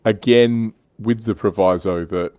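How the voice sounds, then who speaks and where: A man with a very low voice hosts a relaxed hobby livestream. He sounds close and dry, and talks in a chatty, joking, informal way, pausing to read comments from viewers. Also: no room sound. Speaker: livestream host. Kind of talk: casual hobby discussion, reading out viewer comments.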